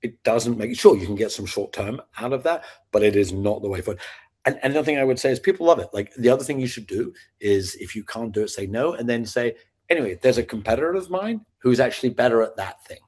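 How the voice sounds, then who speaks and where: A man in his fifties from Scotland with a neutral British accent, telling his career story as a podcast guest in lively, animated chat. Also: mid-range voice, fast, breathy edge. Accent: neutral British, not Scottish-sounding. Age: 50s. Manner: lively storyteller acting out his past self in quotes. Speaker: podcast guest, sales founder.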